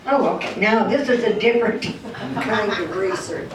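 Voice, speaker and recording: A woman's voice: medium pitch, normal speed, crowd noise. An older woman giving an informal talk as a local historian, telling stories in a folksy, wry way with emphatic repetition. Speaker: guest speaker, local historian and storyteller. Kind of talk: informal storytelling talk to an audience. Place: echoey room in a historic cabin. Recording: roomy, distant mic with background noise.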